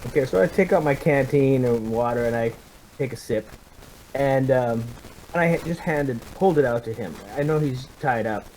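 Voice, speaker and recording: A man's voice: mid-range voice, normal speed, darkish tone, a little hiss or hum, low-quality recording. A man playing a tabletop roleplaying game, casually describing his character's actions in a hesitant, thinking-aloud way.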